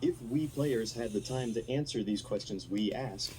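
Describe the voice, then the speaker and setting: A man's voice: medium pitch, normal speed, mellow, slight background noise, poor audio. A man reading a scripted, analytical video essay narration.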